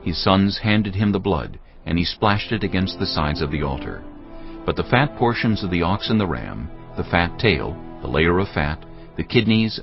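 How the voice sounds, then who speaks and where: A man with a very low voice narrates scripture solemnly and deliberately, with lively rises and falls for emphasis. Prominent music plays beneath him. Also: highs cut off. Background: prominent background music.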